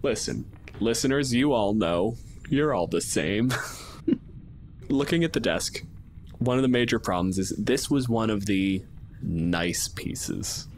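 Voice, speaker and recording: A man's voice: lowish voice, lively intonation, slow, pauses often, slight background noise. A man narrating a tabletop game as game master in a casual, improvised, wry and descriptive way. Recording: music underneath. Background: background music.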